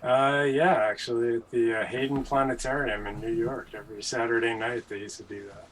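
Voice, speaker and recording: A man's voice: mid-range voice, fairly even intonation, a little fast. An older man chatting casually as a panel guest. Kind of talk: casual chat. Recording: distant from the microphone.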